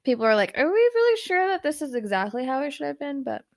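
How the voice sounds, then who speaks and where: A woman podcast co-host with a fairly high voice talks through a true crime story in loose, casual chat. She rambles, leans on filler words, and trips over long words. Her first language is Spanish, and she grew up in New York. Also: big pitch swings, fast talker.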